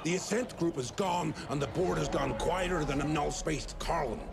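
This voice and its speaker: An actor playing a foul-mouthed, irritable cyberpunk crime boss in a video game, sarcastic and brash, with a gravelly voice. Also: male voice, on the high side, normal speed, heavy background noise. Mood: dismissive, irritated. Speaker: gang boss. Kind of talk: video game cutscene acting.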